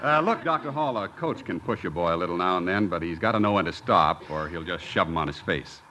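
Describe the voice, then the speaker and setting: An actor playing a decent, hesitant college coach, plain-spoken and deferential, in a 1950s radio sitcom. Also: male voice, sits low, lively intonation, brisk. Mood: earnest. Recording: lightly noisy 1950s radio recording.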